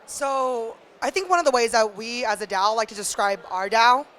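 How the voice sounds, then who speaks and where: A woman on a panel talks informally to the audience through a handheld mic. Her voice is high, and her way of talking is playful and full of slang. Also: normal speed, a bit bright. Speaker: panel speaker. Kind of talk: informal introduction and explanation to audience. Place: live stage event. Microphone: handheld stage microphone.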